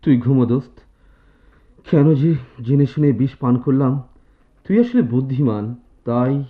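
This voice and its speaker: An actor in a radio drama playing a troubled, despairing young student in love, downcast and confiding. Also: male voice, medium pitch, animated, measured pace, natural voice. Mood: bitter, resigned. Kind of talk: Bengali radio drama acting. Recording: tinny phone-like radio-play recording.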